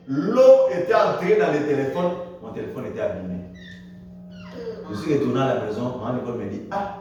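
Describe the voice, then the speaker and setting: A male pastor preaching a Sunday sermon in French, with dramatic swings in pitch and loudness and frequent calls of hallelujah. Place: church. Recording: echoey church hall, distant mic, faint noise.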